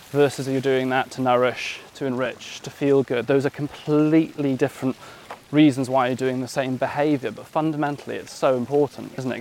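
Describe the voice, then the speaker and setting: A man in his thirties hosts a relaxed interview while walking outdoors in a park. His voice sits at an ordinary middle pitch, and he muses aloud, often closing a thought with a tag question. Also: slight background noise. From West Wales. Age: 30s. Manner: reflective, asks open questions with tag questions. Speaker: podcast host.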